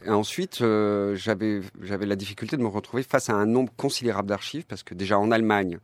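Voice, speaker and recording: A man, a documentary filmmaker, answering interview questions in a thoughtful, halting way and repeating words. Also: fairly low pitch, steady tone, no room sound.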